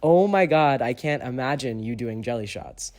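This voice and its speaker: A man's voice: mid-range voice, normal speed, natural voice. A man reading as a teasing, exuberant teen boy. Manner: teasing, expressive.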